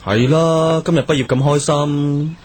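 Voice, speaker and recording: Guy playing a casual young buddy in a radio play, voice a bit high, kinda doubtful. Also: steady tone, unhurried, a little mellow, a little hiss or hum, lightly acted. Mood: friendly, admiring. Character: casual, doubtful young male friend at graduation. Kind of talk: Cantonese radio drama acting.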